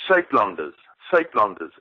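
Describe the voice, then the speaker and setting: A man's voice: lowish voice, very animated, moderate pace, dry recording. A man in his forties with a South African accent, a guest talking formally and precisely in a phone interview. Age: 40s. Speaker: guest, activist. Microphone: telephone. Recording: dry, tinny phone line.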